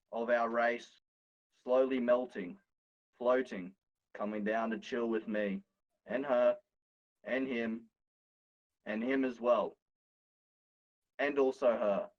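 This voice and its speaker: Casting: man, medium-pitched, sweary joker for loose podcast banter and amateur poetry reading. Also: steady tone, takes it slowly, pauses often, dull audio. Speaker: podcast guest reading own poem.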